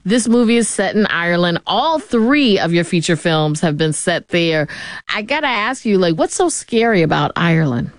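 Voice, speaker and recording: A woman's voice: lowish voice, sing-song, moderate pace, no echo. A woman hosting a public radio interview, asking questions in a conversational way. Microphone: studio microphone.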